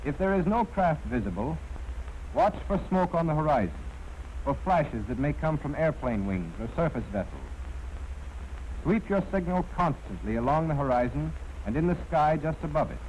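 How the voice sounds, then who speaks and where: A man's voice: fairly high pitch, very animated, normal speed, a little mellow, slight background noise, rough recording. An American man narrating a scripted 1940s instructional film in a precise, formal, instructive manner, on a vintage film soundtrack. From United States.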